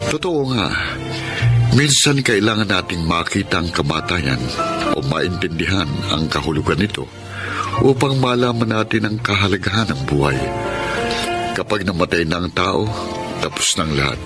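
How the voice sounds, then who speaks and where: A man's voice: deep voice, expressive, normal speed. A man playing a humble, hopeful reformed thief in a Filipino radio drama, with a Filipino accent. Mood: earnest, reflective. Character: humble, earnest reformed snatcher, hopeful working husband. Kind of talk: Filipino radio drama acting and reflective narration. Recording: dramatic music behind the voice.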